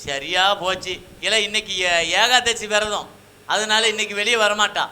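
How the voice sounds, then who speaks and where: A man's voice: sits high, moderate pace, pushed and bright. A man voicing an evasive village husband in comic Tamil puppet banter, insistently making excuses in rural dialect. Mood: defensive. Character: evasive village husband puppet, guarding his shy wife.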